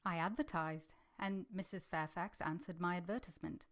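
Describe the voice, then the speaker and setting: An actress playing a reserved, polite eighteen-year-old English governess in a vintage-style radio drama. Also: female voice, on the low side, moderate pace, fairly bright tone. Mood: reserved, deferential. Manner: restrained, polite, brief and direct in answers. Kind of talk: vintage radio drama acting with first-person narration.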